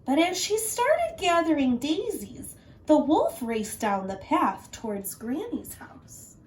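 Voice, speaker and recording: A woman teacher reading a children's story aloud in an animated narrating style. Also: high voice, slow pace, recorded from a distance, a little hiss or hum, poor audio.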